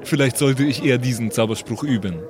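Male tabletop player, average pitch, tentative casual delivery, noticeable background chatter. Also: a little fast. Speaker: player in tabletop role-playing show. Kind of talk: casual improvised game chat.

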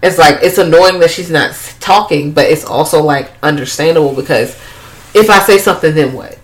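A woman's voice: low voice, brisk, rough recording. A woman co-hosting a casual chat, recapping a movie plot in a rambling, profane way. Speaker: podcast co-host.

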